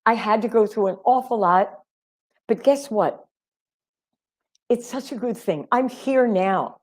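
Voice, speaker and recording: A middle-aged woman coaching singers in an animated, emphatic live chat. Her voice sits fairly high and she keeps calling her listeners honey. The talk is loose and encouraging. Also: unhurried. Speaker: vocal coach.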